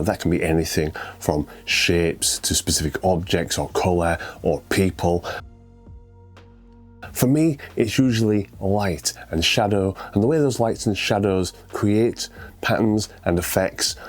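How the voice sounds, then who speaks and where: A middle-aged man with a cold, sounding stuffy and croaky as he talks through his photos in a relaxed, conversational way. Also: low-pitched, measured pace, soft background music. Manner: relaxed, conversational, a few fillers. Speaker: photography video host.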